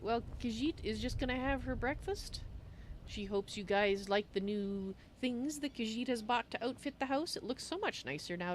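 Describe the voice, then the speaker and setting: A woman voicing a gentle, fussy female cat-folk traveler in a game roleplay, musing aloud about herself in the third person. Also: fairly high pitch, natural voice, low-quality recording. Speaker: livestream roleplayer. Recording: steady background noise.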